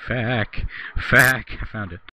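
A man's voice: mid-range voice, slow, sharp tone. A young man with an American accent chatting casually and joking while playing a game with friends. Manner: joking, laughs often, swears at the game. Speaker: gamer streaming with friends. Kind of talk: casual gaming chat. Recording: noisy voice chat.

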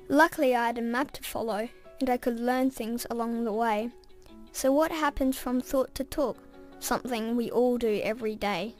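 A woman narrates a rhyming story in a gentle, storytelling way. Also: on the high side.

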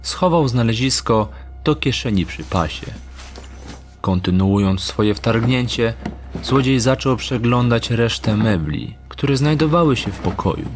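Slow, measured male storyteller. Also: medium pitch, animated. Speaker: audio drama narrator. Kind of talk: scripted dark story narration. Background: music.

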